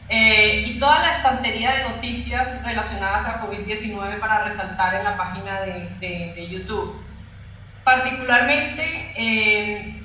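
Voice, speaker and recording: A woman with a fairly high, bright, forceful voice explains things as an interview guest. She speaks as a company executive and often tags her phrases with a short question. The sound is very echoey, with faint noise behind her.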